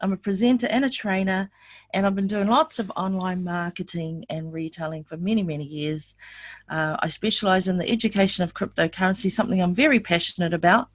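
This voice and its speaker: A woman's voice: on the low side, steady tone, brisk. Upbeat woman from New Zealand presenting. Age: older. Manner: upbeat, asks the audience many questions. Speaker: webinar presenter. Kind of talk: online sales webinar presentation.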